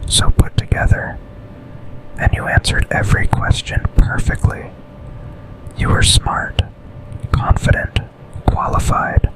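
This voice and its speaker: Man acting as slow, whispering seductive boss. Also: lightly acted, rough recording. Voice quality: breathy whisper.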